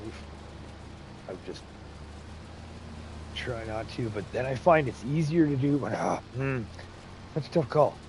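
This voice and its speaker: A man's voice: medium pitch, animated, unhurried, pauses often, a little mellow, slight background noise. A man hosting a casual farming game livestream, chatting and laughing often as he reads chat aloud. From English, Irish, Scottish, Ukrainian and Romanian heritage. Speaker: livestream host.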